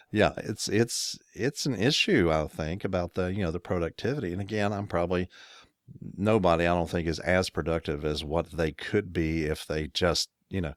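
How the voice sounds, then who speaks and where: A man's voice: low voice, no echo, clean studio sound. A middle-aged American man co-hosting a casual podcast, telling rambling workplace stories with chuckles and self-corrections. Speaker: podcast co-host. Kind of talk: casual chat.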